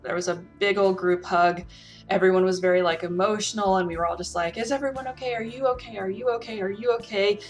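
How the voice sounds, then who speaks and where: A woman's voice: mid-range voice, steady tone, quick pace, soft background music. A woman talking casually and reflectively, in an earnest and emphatic manner, as a role-player sharing advice.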